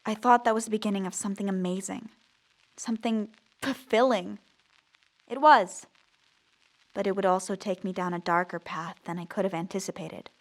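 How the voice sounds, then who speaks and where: A woman's voice: mid-range voice, steady tone, normal speed, natural voice. A woman playing a determined young zombie girl in an audio drama, earnest and theatrical, both in dialogue and first-person narration. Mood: earnest narrating. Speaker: lead character and narrator.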